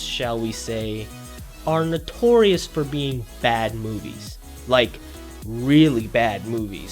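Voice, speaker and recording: A man presenting an opinionated video essay as a YouTube host, speaking emphatically. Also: on the high side.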